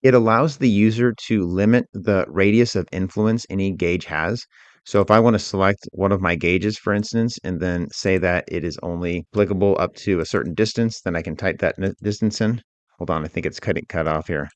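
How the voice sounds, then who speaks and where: A man working as an instructor, narrating a software tutorial in a methodical, informal, step-by-step way. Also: deep voice, brisk, dry recording.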